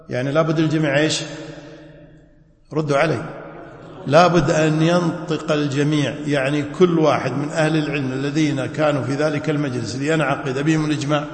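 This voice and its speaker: Man teaching in an echoey mosque. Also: a little high. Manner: quizzes listeners with prompting questions. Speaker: teacher of Islamic jurisprudence. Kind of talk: classroom lecture.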